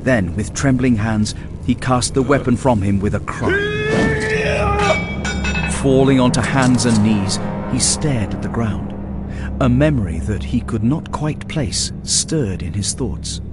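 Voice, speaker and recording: A man's voice: mid-range voice, a little slow. A man reading dramatic fantasy narration in a grave, portentous manner as an audiobook narrator. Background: music.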